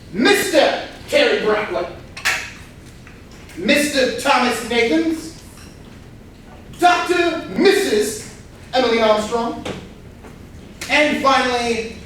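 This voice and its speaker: A woman playing a formal, deliberate butler who presides over a murder game in a staged comedy reading. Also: sits high, fairly bright tone, heavily acted. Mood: formal, announcing. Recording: very echoey room, distant mic, faint noise.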